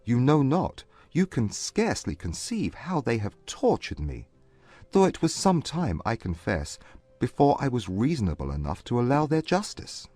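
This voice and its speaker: A man playing a proud, contrite gentleman in love, speaking with formal intensity in a dramatized classic romance audiobook. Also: mid-range voice, sing-song, no echo. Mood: remorseful. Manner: formal, intense, measured period phrasing. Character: earnest, proud gentleman suitor, contrite and lovestruck.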